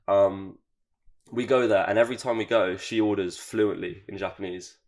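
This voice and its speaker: A man's voice: fairly low pitch, crowd noise. A young British man chatting casually as a podcast guest, loose and full of fillers. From England. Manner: relaxed, chatty, fast with lots of fillers.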